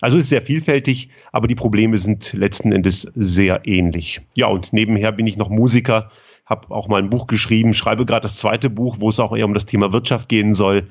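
A man's voice: lowish voice, fairly quick, dull audio. A man who grew up in Germany, a consultant explaining his work thoughtfully in an interview, with frequent hesitations. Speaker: guest, lean consultant. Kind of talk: conversational interview answers.

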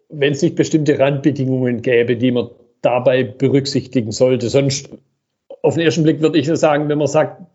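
A male podcast host with a somewhat high, breathy voice opens with a scripted introduction. He then interviews his guest in a measured way, often restarting and rephrasing his questions. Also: warm tone, lo-fi sound. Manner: measured, asks questions with many hesitations and repetitions.

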